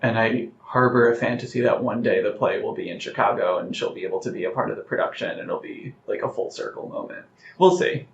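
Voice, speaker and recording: A man being interviewed as a playwright, talking in a reflective, carefully measured conversational way. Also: on the low side, vocal fry. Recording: roomy, slightly muffled, noticeable background noise.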